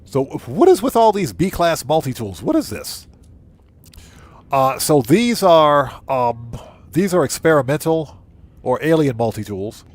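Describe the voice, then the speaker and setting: Animated high-pitched man streaming a game. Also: a little slow. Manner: exclamatory, repeats short phrases emphatically. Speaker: livestream host. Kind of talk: casual gameplay livestream commentary.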